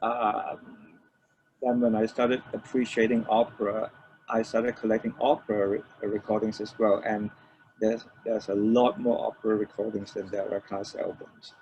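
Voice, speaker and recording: An older man from Malaysia, reminiscing as an interview guest in a halting way, repeating phrases as he explains. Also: lowish voice, flat delivery, darkish tone. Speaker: interview guest, record producer. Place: room with shelves of DVDs, on a remote call. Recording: dull lo-fi call, faint noise.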